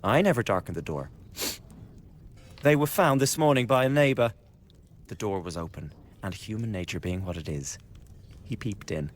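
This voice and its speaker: An actor playing a glib, scheming young revolutionary in a radio drama, chatty and insinuating with a blackly flippant manner. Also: male voice, on the low side, animated, normal speed, pauses often. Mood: mock-sorrowful, sly. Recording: studio radio drama with background ambience.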